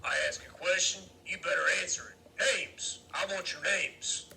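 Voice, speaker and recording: Guy doing a mean biker boss in an audiobook, mocking and bossy, short clipped orders, bit of gravel. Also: high-pitched, fairly even intonation, measured pace, sharp tone, a little hiss or hum, pitched above the performer's own voice, heavily acted. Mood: menacing. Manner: curt, taunting, commanding. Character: menacing, mocking male motorcycle gang boss.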